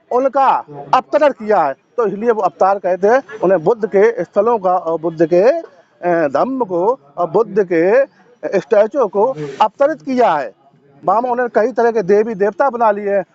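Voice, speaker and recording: A man's voice: sits high, a little mellow, lo-fi sound. A man giving impassioned, assertive interview answers as a protester, stressing points by repeating phrases.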